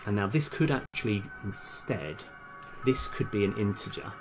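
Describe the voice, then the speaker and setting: A man live-streaming as a game developer, casually thinking aloud in halting mutters as he works. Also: fairly low pitch. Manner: halting, muttering to self while working. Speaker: game developer livestream host. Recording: music behind the voice. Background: music, computer keyboard typing.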